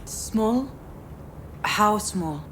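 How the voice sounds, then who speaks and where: A woman's voice: mid-range voice, expressive, extremely slow, fairly bright tone, slight background noise. An actress playing an overwhelmed, sardonic woman in her thirties in a narrative game, hesitant and naturalistic. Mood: shaken. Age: 30s. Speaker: protagonist of a visual novel. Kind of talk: naturalistic dramatic game voice acting, dialogue and inner monologue.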